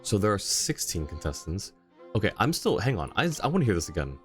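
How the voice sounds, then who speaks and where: Low-voiced man livestreaming casually. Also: big pitch swings, fairly quick, over music. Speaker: game livestreamer. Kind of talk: casual livestream commentary, chatting with viewers.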